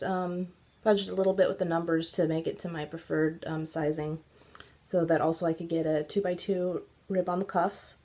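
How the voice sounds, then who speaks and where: Woman host, nasal from congestion, lowish gravelly voice, casual and meandering. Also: a little mellow. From Central California. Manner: chatty and rambling, many ums. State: stuffy, congested. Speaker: podcast host. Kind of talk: casual knitting video podcast chat.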